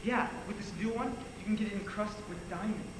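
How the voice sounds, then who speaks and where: A man's voice: sits high, brisk, natural voice. A man acts a laid-back, teasing teenage buddy in a one-act stage comedy. The voice is far from the microphone in an echoing hall under heavy noise. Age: young adult. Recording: very noisy echoey hall from far off.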